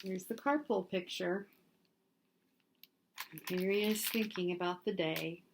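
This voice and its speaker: A woman reading a children's picture book aloud in expressive, deliberate phrases, with asides about the pictures. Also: lowish voice, background noise. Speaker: storybook reader.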